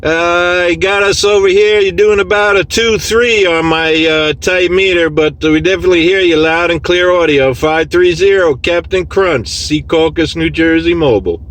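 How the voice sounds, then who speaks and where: A man's voice: on the high side, moderate pace, hardly any pauses, fairly bright tone. A man working as a CB radio operator, talking casually in clipped radio lingo from inside a vehicle. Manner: clipped radio lingo, repeats call sign. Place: inside a vehicle, mobile unit. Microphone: handheld phone recording in the vehicle. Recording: noisy phone recording of CB radio session. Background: clear radio and vehicle noise.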